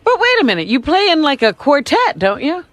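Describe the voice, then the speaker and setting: A woman's voice: sits high, wildly swooping pitch, a little fast, a bit bright, no room sound. A woman co-hosting a radio talk show, chiming in skeptically. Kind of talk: radio banter.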